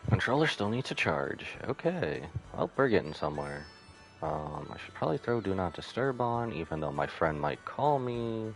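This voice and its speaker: Male game streamer, very low voice with animated intonation, casual rambling commentary. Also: moderate pace, faint music behind. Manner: rambling, drifts into asides. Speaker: live-streamer.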